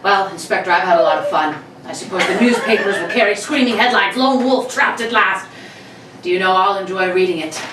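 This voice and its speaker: A woman's voice: medium pitch, fairly quick, natural voice. A woman playing a gleeful, cocky male robber in an old-time radio play reading, mock-polite and theatrical. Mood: cocky, breezy. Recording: echoey live room, distant mic, faint noise.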